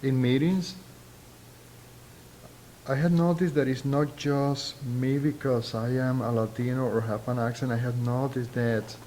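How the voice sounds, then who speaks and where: A middle-aged man and native Spanish speaker with a thick Puerto Rican accent, giving reflective, halting, deliberate interview answers as a university professor. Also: steady tone, dark tone. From Puerto Rico. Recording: noisy, low rumble behind.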